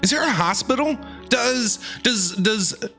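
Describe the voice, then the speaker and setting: Male streamer for casual game reactions: forward, bright tone, very lively pitch, exclaims and repeats himself. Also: unhurried, faint music behind. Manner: excitable, repeats words, exclaims.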